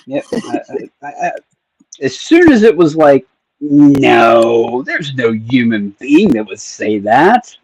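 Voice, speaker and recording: A man's voice: mid-range voice, big pitch swings, slow pace, a little mellow, husky, low-quality recording. A man co-hosting a livestream, chatting casually, playful and jokey. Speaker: livestream co-host. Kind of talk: casual chat.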